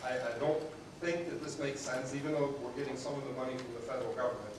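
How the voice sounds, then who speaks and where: A man with a booming voice asking a question as a resident at a town hall meeting, earnest with small restarts. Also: steady tone, fast. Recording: echoey hall, distant mic, noise.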